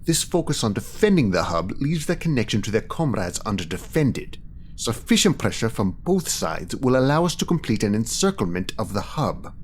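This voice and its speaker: An actor playing a coolly analytical official in an audio drama, speaking in a formal, measured, clinical manner. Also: male voice, on the low side, big pitch swings, a little hiss or hum. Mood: coolly confident. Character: coolly analytical male official, precise calculating strategist.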